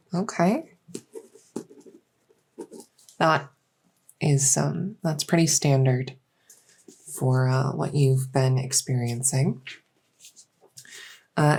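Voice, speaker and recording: A woman playing a gentle, reassuring doctor in a soft-spoken medical roleplay, speaking hesitantly with frequent pauses. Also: low-pitched. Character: gentle, reassuring female doctor in a fantasy transition clinic. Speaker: roleplay audio performer.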